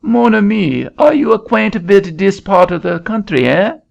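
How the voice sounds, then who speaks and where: A man voicing an excitable middle-aged Frenchman with a French accent and sputtering broken English, in an audiobook reading. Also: high voice, measured pace, vocal fry, pitched above the performer's own voice, exaggerated voice. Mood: polite, puzzled. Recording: dull lo-fi recording.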